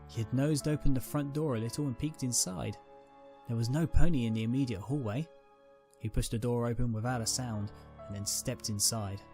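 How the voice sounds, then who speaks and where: A man narrates a dramatic story from a script. His voice sits at an ordinary male pitch, and music plays softly behind him. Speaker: narrator, reader. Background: background music.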